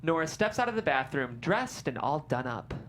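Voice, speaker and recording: Low-voiced, expressive woman narrator. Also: moderate pace, a little hiss or hum. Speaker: stage direction narrator. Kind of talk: scripted narration at a table read.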